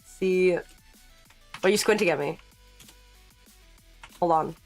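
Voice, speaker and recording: A woman's voice: lowish voice, slow, soft background music. A woman in her late twenties chatting casually to her live-stream chat as she works, rambling with laughing asides. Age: late 20s.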